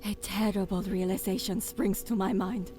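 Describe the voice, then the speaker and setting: A woman playing a grave alien commander in a science fiction audio drama, speaking in a measured, deliberate and dramatic way. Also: mid-range voice, steady tone, close to an everyday voice. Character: alien woman commander, grave narrator, fierce when giving orders. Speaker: narrator and lead character. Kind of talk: dramatic first-person audio drama narration.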